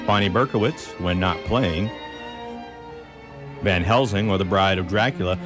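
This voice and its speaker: Low-voiced man announcing over music. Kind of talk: scripted closing credits for a radio play.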